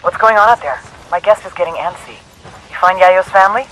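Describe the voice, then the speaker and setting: An actor playing a breezy intelligence contact, giving informal, slangy briefings to soldiers in a video game. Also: male voice, sits high, fairly quick, fairly bright tone, slight background noise, rough recording. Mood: impatient, wry.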